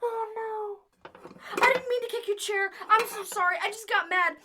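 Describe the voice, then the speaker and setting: A woman's voice: very high-pitched, normal speed, heavy background noise. A woman playing an obsessive, lonely scientist and tinkerer in an audio drama, chatty and theatrical with a volatile intensity. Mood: frantic, pleading.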